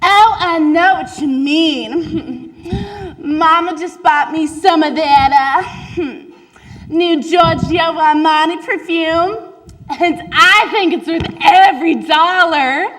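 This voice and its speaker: A woman's voice: extremely high pitch, slow, a bit bright, pitched above the performer's own voice, heavily acted, low-quality recording. A teen girl doing a giggly spoiled girl.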